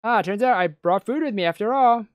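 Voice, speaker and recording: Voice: medium pitch, brisk, no echo, voice pushed up, natural voice. A performer of unclear sex voicing a bubbly, whiny, playful anime schoolgirl in a visual novel. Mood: excitable, playful. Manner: whining, excitable, dramatic.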